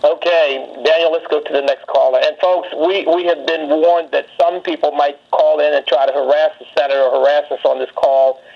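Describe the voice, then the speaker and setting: A man's voice: on the high side, level tone, fairly quick, gravelly, few pauses. An American man hosting and moderating a political conference call. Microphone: telephone. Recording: muffled lo-fi phone conference line.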